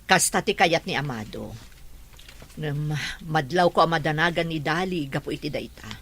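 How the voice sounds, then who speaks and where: A woman's voice: sits low, moderate pace, a bit bright. A woman playing a worried, easily alarmed mother in a drama, with a Filipino accent. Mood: troubled. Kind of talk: Ilocano radio drama acting.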